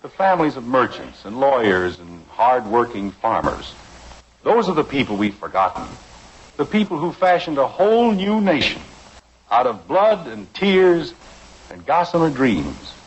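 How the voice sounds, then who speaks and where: A stern, principled American family father in a vintage radio play. He lectures in a formal, measured way, with a widely moving pitch, over a noisy old broadcast recording. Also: sing-song. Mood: earnest, solemn. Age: middle-aged. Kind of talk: 1950s American radio drama acting.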